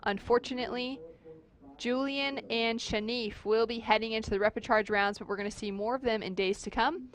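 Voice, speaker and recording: A woman's voice: a little high, steady tone, fairly quick. A woman calls a surfing heat live from the commentary booth. She runs through scores and surfers in an upbeat, fluent play-by-play. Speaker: surf commentator.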